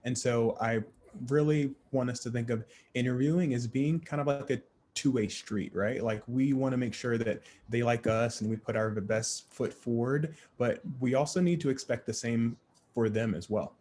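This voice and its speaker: Male panel moderator, friendly and encouraging, measured delivery, faint background hiss. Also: medium pitch, darkish tone.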